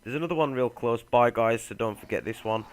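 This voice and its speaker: A man's voice: mid-range voice, brisk, slight background noise. Casual man narrating a game guide. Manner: laid-back, addresses viewers directly. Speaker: gaming video host. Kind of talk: casual game walkthrough voice-over.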